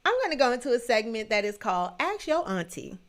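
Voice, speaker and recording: A woman in her thirties hosting a solo livestream, talking in a sassy, emphatic, playfully scolding way with a booming voice. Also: very animated, fast talker, fairly bright tone. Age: 30s. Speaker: livestream show host.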